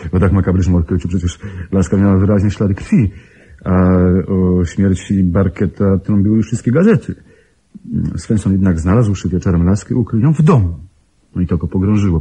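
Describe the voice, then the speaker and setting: An actor playing a self-assured, gently teasing suitor and amateur sleuth who explains wryly in a radio play. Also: male voice, low-pitched, breathy edge. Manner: explanatory, wry. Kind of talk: Polish radio drama acting.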